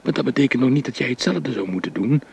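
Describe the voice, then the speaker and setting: A man's voice: medium pitch, lively intonation, quick pace, vocal fry, darkish tone, slightly rough. An actor playing a restless man who urges the woman he loves to leave with him, earnest and pleading. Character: Jaap, restless ardent suitor. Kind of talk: radio play acting.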